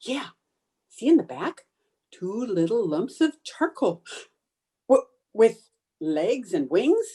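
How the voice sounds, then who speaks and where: A woman's voice: on the high side, very animated, takes it slowly, long pauses, dark tone, slightly rough, full character voice. A woman playing a scatterbrained, wisecracking 1950s housewife in an old-time radio sitcom, with dry sarcasm and comic exasperation. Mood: dismayed. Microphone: home microphone over video call.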